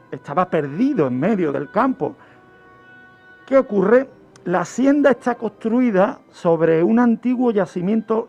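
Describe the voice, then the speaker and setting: A man's voice: sits high, vocal fry, darkish tone, highs cut off. A man explaining a true-crime history on radio in a didactic way, posing questions and answering them. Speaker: guest criminologist presenting a true-crime segment.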